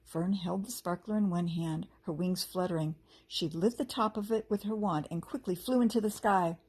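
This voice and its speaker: A woman reading a storybook aloud as a teacher would to children, with expressive delivery and character voices. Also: on the low side, normal speed, slightly rough.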